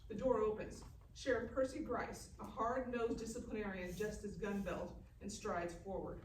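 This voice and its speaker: A woman's voice: medium pitch, steady tone, normal speed. Woman narrator in an echoey room. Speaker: stage-direction narrator. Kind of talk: scripted narration at live table read. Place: live reading in a festival room. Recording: echoey room, distant mic, faint noise.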